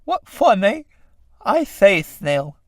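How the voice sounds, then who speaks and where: A woman voicing callous, gossiping snails in a low, sly, gloating mutter. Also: big pitch swings, slow pace, dry recording, studio quality.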